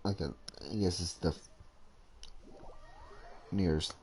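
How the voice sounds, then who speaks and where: Male streamer: soft dark tone, lowish pitch, casual halting gameplay commentary, slangy, slight background noise. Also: steady tone. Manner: halting, slangy, muttering while reading. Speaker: video game streamer.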